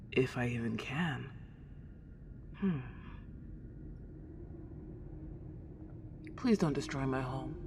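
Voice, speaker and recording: A woman's voice: deep voice, darkish tone. A woman playing a friendly, polite and lonely ghost girl a century old, chatting in a genteel, old-fashioned way in an ASMR roleplay drama. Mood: worried, pleading. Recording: long reverb, clear background noise.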